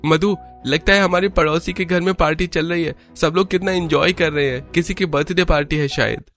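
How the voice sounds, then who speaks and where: A man's voice: a little high, fairly even intonation, brisk, a bit bright, soft background music, voice pushed up. A man voicing a boyish college-age younger brother in a cartoon story. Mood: wistful.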